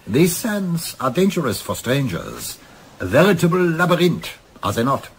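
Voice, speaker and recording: An actor playing a courteous, probing German yacht owner of about fifty with a German accent in a radio play. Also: male voice, fairly high pitch, very animated, normal speed. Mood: suave, probing. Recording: faint background noise.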